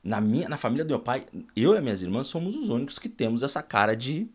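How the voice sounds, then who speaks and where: A Brazilian man in his forties with a São Paulo accent, telling his life story in a casual, animated way in a small room at home and often ending lines with a quick "tá?" or "né?". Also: mid-range voice, husky. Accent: São Paulo Brazilian Portuguese. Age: 40s. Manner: animated, gestures a lot, tags lines with "tá?" and "né?". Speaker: vlogger.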